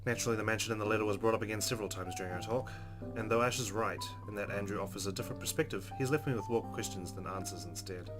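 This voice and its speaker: A man's voice: fairly low pitch, very fast. A man hosting a let's play, reading game narration expressively with casual, playful asides. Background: game music.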